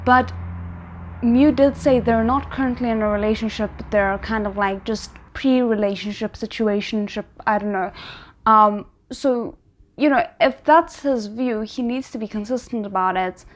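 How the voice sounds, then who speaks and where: A woman hosting a reaction video, talking casually and thinking aloud in halting, repeated phrases. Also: on the high side, a little hiss or hum. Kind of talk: casual spoken reaction and commentary.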